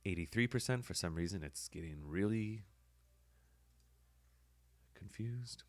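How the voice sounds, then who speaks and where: A man with a hoarse voice, casually talking through his editing work as a podcast host, thinking aloud with fillers. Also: fairly low pitch, measured pace, no room sound. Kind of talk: casual screen-recording commentary.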